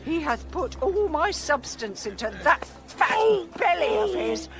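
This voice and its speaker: An actress playing an indignant, long-suffering poor widow in a radio Shakespeare play, garrulous and repetitive. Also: female voice, very high-pitched, sing-song, unhurried, vocal fry, over music, exaggerated voice. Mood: pleading.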